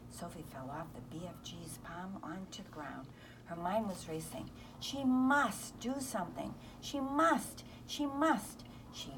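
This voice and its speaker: A woman's voice: fairly low pitch, far from the mic, noisy background. A woman reading a children's storybook aloud as narrator. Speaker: volunteer story reader. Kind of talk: children's book read-aloud.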